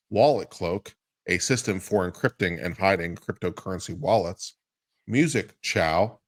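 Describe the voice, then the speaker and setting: A man giving a dramatic, mock-grand reading of a lawsuit. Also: fairly low pitch. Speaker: guest commentator.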